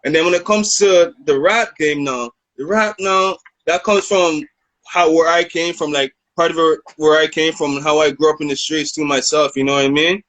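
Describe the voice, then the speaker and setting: A man in his thirties from Canada, a rapper, talks in a relaxed, rambling way and keeps checking in with "you know what I mean". His voice sits a bit high and sounds dry and close. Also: normal speed, no echo. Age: 30s. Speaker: rapper guest. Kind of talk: casual interview storytelling.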